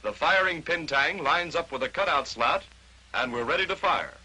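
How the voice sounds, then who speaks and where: A man's voice: a little high, animated, moderate pace, pushed and bright, breathy edge, rough recording. An American man narrating a 1940s instructional training film, explaining step by step in short declarative statements on a vintage film soundtrack.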